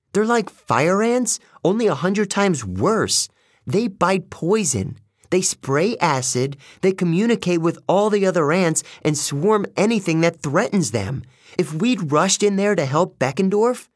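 A man's voice: fairly high pitch, big pitch swings, measured pace, slightly rough, no room sound, studio quality, voice pushed up, exaggerated voice. A man voicing a bossy, clever and urgent teenage demigod girl in an audiobook story. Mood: urgent, explanatory.

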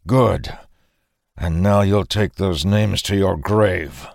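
A vengeful rebel leader, played by a male narrator, snarls with venom and harsh bitterness. His voice rises above the teller's low register in a close, dry fantasy audiobook. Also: takes it slowly, vocal fry, darkish tone, no echo, exaggerated voice. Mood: fuming.

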